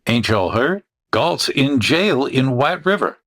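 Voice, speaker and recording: A man's voice: medium pitch, sing-song, slow pace, fairly bright tone. A man doing a folksy, drawling cowpoke. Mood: bewildered. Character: puzzled drawling drifter, a man woken in bed.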